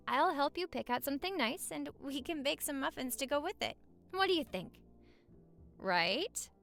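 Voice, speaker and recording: A woman acts out a young newlywed wife in a romantic audio roleplay. Her voice is high and swings widely in pitch as she banters. She comes across as affectionate, playful and teasing, chatting as if to her partner at home. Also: a bit bright, heavily acted. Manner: bantering, teasing, sweet-talking.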